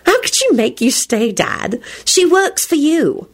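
Woman voicing a high-pitched, cheeky spoiled young woman. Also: big pitch swings, measured pace, full character voice. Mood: cheerful. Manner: airy, indignant, gushing.

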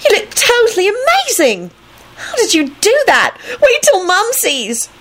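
An excitable storybook girl, put on by a woman, cheeky and curious. She has a very high, swooping, eager voice. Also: a little slow, heavily acted. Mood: excited. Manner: bright, blunt, admiring.

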